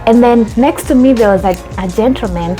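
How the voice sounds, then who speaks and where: A woman's voice: on the high side, fairly quick, warm tone, faint music behind. A young adult woman from Kenya hosting her own vlog, telling a story in a chatty way with lots of fillers and restarts. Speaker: YouTube host.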